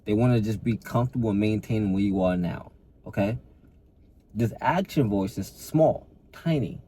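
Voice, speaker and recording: Low mellow-voiced man coaching fitness. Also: normal speed, a little hiss or hum. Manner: repeats and restarts words, earnest motivational push. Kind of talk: casual talk to camera.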